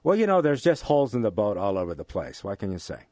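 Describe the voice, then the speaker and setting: An older American man with a fairly low voice, recorded close and dry. He answers interview questions like a college teacher, choosing formal words deliberately and pausing to find them. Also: big pitch swings, dark tone, no room sound. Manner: measured, deliberate, formal wording with hesitant pauses. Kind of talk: thoughtful studio interview. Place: radio studio.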